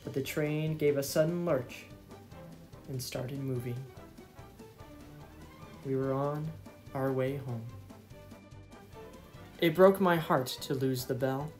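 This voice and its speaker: Male narrator, children's story reading, expressive, measured, soft music behind. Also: medium pitch. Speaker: storybook reader.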